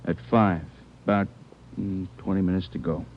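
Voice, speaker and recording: Guy playing a plainclothes cop reporting in, low voice, plain and dutiful, old muffled radio show. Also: flat delivery, normal speed, slight background noise, lightly acted. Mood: matter-of-fact. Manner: matter-of-fact reporting. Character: dutiful plainclothes policeman on stakeout. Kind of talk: 1950s radio crime drama acting.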